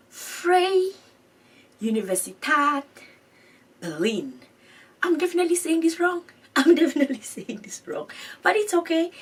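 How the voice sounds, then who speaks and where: A woman's voice: high-pitched, husky, recorded from a distance. A woman hosting an education channel, chatting through web pages on screen, repeating her points and laughing at her own pronunciation.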